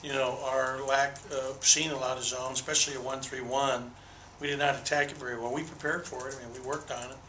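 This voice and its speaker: A man's voice: medium pitch, flat delivery, fairly quick, pushed and bright, a little hiss or hum. A man in his sixties, a basketball head coach, giving candid, detailed postgame answers at a table microphone in a small press room. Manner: candid, detailed, repeats words when restarting. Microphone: table microphone on a desk. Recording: roomy echo.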